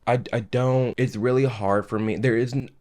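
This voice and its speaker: A man's voice: mid-range voice, steady tone, moderate pace, a little mellow. A man hosting a reaction video, talking in a casual, impassioned and emphatic way with many restarts. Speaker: commentary host.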